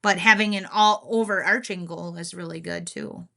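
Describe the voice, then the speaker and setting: A woman's voice: on the low side, steady tone, moderate pace, creaky, bright, forceful tone. A woman co-hosting a podcast in a casual, reflective chat, agreeable and a little self-deprecating. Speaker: podcast co-host.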